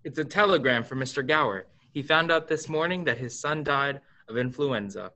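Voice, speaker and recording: A man's voice: mid-range voice, moderate pace, bright, forceful tone. A teenage boy playing an authoritative, businesslike senior angel in a staged radio play. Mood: calm, instructive.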